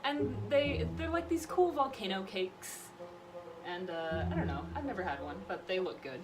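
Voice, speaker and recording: A woman's voice: medium pitch, big pitch swings, moderate pace, background noise. A young woman vlogger telling personal stories in a casual, chatty, playful way, recapping details with mock seriousness. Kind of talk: casual chatty storytelling with a friend.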